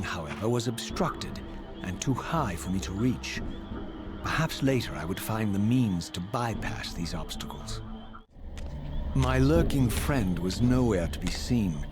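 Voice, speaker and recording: A man playing a proud, vengeful undead wraith, narrating in grave, formal, theatrical diction for a video game. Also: mid-range voice. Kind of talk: dramatic scripted game narration. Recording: music behind the voice.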